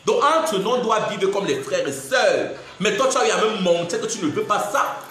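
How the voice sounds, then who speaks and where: A man's voice: high voice, lively intonation, moderate pace, a bit bright, slight background noise. A man in his twenties with a Cameroonian French accent, ranting in a live video monologue, indignant and mocking, laughing between his jabs. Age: 20s. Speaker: social media personality. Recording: very echoey room.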